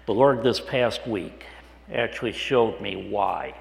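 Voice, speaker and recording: A middle-aged male pastor with a hoarse, raspy voice, preaching a sermon in a conversational way with frequent restarts. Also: lowish voice, takes it slowly. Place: church sanctuary. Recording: echoey hall with long reverb.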